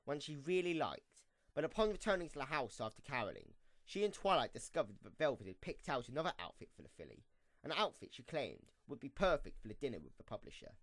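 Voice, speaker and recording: A man's voice: medium pitch, dry recording. A man narrating a scripted story for an amateur radio play, reading in a storybook style.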